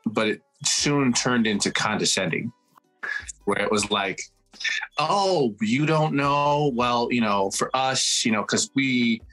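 A man's voice: mid-range voice, takes it slowly, a bit bright, soft background music, low-quality recording. A man, reflecting at length as a guest on a casual video-call panel. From Samoa. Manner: reflective, frequent restarts.

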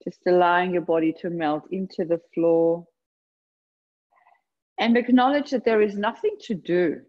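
A woman leading a guided meditation, speaking gently and unhurriedly in short phrases with long pauses between them. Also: on the low side, dull audio. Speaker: yoga nidra meditation guide. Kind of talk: guided meditation, slow soothing instruction.

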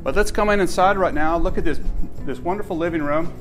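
Older man, fairly high voice, eager homeowner showing rooms, faint music under. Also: fast, a bit bright. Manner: chatty, enthusiastic, frequent restarts. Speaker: homeowner giving a house tour. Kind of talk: casual walking tour narration. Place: inside a house, moving room to room. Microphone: camera mic, filmed walking behind him.

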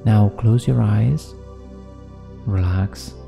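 A man narrating a guided meditation in a soothing, measured manner with deliberate pauses. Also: on the low side, gravelly. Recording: long reverb, New-age music behind. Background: New-age music.

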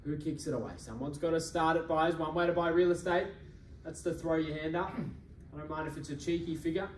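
A young man working as an auctioneer, calling bids at a live property auction in a brisk, persuasive, repetitive way. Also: on the high side, slight background noise. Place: function room with audience. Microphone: far camera. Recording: echoey room from far off.

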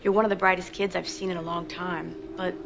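A woman's voice: lowish voice, flat delivery, a little fast, creaky. Muffled, firm female teacher. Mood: firm, concerned. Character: concerned, firm female schoolteacher. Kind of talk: television drama acting. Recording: muffled, noisy TV playback.

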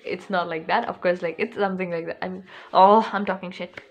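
A woman's voice: fairly low pitch, steady tone, brisk, creaky, dull audio. A teenage girl with an Indian English accent chatting into her phone camera for her first vlog, rambling with lots of fillers and restarts, indoors in a small room at home. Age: teens. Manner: rambling, chatty, with many fillers and self-corrections. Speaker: vlogger. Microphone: phone camera held close.

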